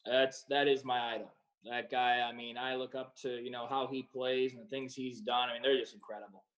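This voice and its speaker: A man's voice: medium pitch, fairly quick. Young pro soccer guy, laid back, lots of um and you know, mid voice. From Croatia and the United States. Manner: relaxed, rambling with many fillers. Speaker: professional soccer player. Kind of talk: casual interview answers.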